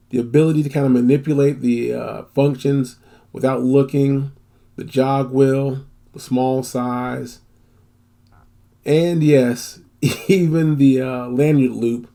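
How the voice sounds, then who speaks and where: A man's voice: medium pitch, vocal fry, dark tone. A man giving a casual, unscripted product review as host, rambling a little, repeating phrases for emphasis and using folksy slang.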